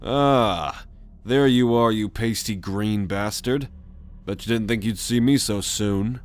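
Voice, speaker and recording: A man's voice: fairly low pitch, slow. A man playing a cocky, commanding alien rogue with a sardonic manner in an ASMR roleplay audio drama. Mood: taunting. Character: cocky male alien rogue, bold leader on a mission.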